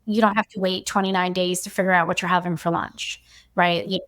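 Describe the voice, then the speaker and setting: A woman human design coach talking as a podcast guest, chatty and relaxed, and she often says "like.". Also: lowish voice, fairly quick. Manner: chatty, fills her speech with "like". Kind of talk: casual podcast conversation.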